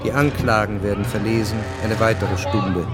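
A man narrating a historical radio drama in a measured, sober manner. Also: on the low side, steady tone, over loud music, natural voice. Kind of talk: scripted narration.

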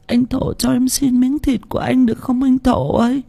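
A man voicing a starving little girl who whimpers and begs her older brother in a thin, breathy voice. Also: extremely high pitch, level tone, moderate pace, warm tone, pitched above the performer's own voice, exaggerated voice. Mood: weak pleading.

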